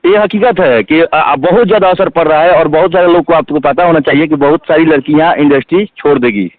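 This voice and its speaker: A man being interviewed, speaking in a halting, repetitive, emphatic way. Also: fairly high pitch, dry recording. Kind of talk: phone interview. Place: phone call. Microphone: telephone. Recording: tinny phone call recording.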